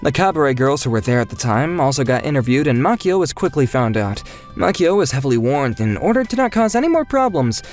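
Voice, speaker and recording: Male storyteller for scripted first-person narration, fairly high voice, lively intonation, music underneath.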